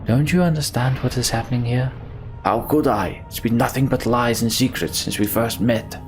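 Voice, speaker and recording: A man's voice: mid-range voice, normal speed, natural voice. A man voicing a defiant, exasperated fantasy game leader with an indignant, challenging manner. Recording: game music behind the voice.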